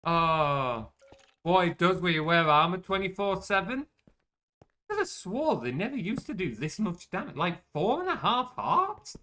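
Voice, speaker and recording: Young male streamer, chatty, self-deprecating, quick to curse; animated delivery, crisp bright tone. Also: high-pitched. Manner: chatty and self-mocking, swears when caught out. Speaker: game streamer. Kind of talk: casual gameplay commentary.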